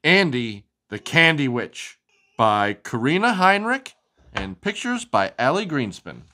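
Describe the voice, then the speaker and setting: A low-voiced woman hosts a children's storytime with hugely animated delivery. Her reading is sing-song and expressive, and she turns to chat with a child, asking questions along the way. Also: unhurried.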